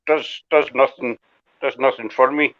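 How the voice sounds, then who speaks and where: A man's voice: medium pitch, steady tone, measured pace. Chatty Scottish man, dull lo-fi sound. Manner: chatty, rambling, self-deprecating. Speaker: host. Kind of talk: casual live chat. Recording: dull lo-fi audio.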